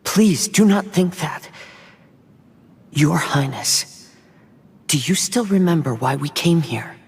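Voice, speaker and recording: Calm young samurai, echoing hall. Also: male voice, fairly high pitch, takes it slowly, husky, heavily acted. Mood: earnest, reassuring. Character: calm, gentle young male samurai protector. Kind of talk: anime game voice acting. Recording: long hall reverb, music behind.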